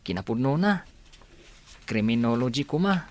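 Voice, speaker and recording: A man's voice: mid-range voice, big pitch swings, moderate pace, natural voice. A man playing a friendly, easygoing college classmate in an Ilocano radio drama, with a Filipino accent.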